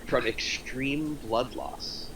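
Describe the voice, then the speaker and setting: A man's voice: medium pitch, extremely slow, fairly bright tone, slight background noise, rough recording. A man narrating the stage directions of a script at a table read.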